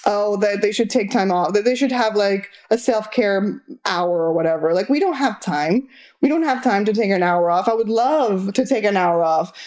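A woman's voice: medium pitch, normal speed. A young adult woman from Argentina whose first language is Spanish, talking candidly as an interview guest and filling her speech with "like". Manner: candid, many "like" fillers, lively rises when exasperated. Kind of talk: casual interview chat.

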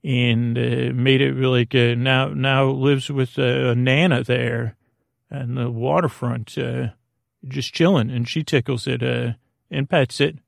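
A man hosting a sleep podcast, rambling in a gently silly, soothing way, halting and circling back on his words with a gravelly creak. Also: medium pitch, little pitch movement, no echo, clean studio sound. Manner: meandering, halting, trailing off mid-word and circling back. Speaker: podcast host. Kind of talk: rambling bedtime-story monologue to help listeners fall asleep.

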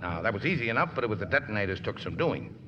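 Casting: folksy, gruffly tender boat captain, ungrammatical talk, swinging pitch, muffled 1950s radio sound. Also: male voice, very animated, racing. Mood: proud. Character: scrappy, folksy riverboat skipper, gruffly tender. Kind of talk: 1950s radio drama acting. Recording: noisy, muffled old radio recording.

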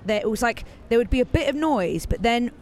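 A hoarse woman hosting a casual podcast chat outdoors, excitable and stumbling over her words. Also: fairly high pitch, a little fast, slight background noise. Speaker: podcast host. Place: outdoors near a stadium in a city.

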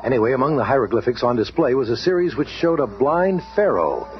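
A slightly noisy, dull old radio recording of an earnest scientist hero, played by a man. His pitch moves freely as he explains and gives orders with authority. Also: big pitch swings, close to an everyday voice. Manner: measured, explanatory. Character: earnest, authoritative male scientist leader of heroes. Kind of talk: 1970s superhero radio drama acting.

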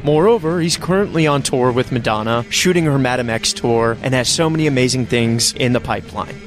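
A man's voice: mid-range voice, fairly quick, soft background music. Enthusiastic man hosting a podcast. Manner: enthusiastic, effusive. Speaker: podcast host. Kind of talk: interview podcast.

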